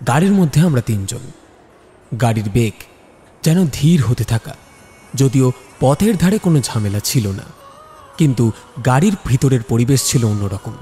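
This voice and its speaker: Guy narrating a detective story, mellow dark voice, big reverb, music underneath. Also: medium pitch, very animated, normal speed, pauses often. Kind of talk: dramatic scripted narration.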